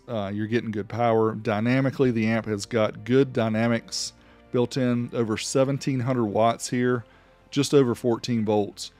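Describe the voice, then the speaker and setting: A man's voice: fairly low pitch, a little mellow. A man hosting a gear review, narrating tests casually in a matter-of-fact, explanatory way and reading out figures. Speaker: host of an audio gear review channel. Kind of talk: casual product test narration.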